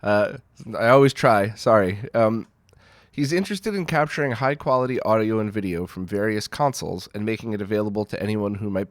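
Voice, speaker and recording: A man's voice: fairly low pitch, no echo. A man with a cold and a nasal voice, hosting a casual retro gaming Q&A and rambling through answers with fillers. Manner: rambling, self-deprecating, with frequent fillers and restarts. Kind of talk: casual Q&A talk.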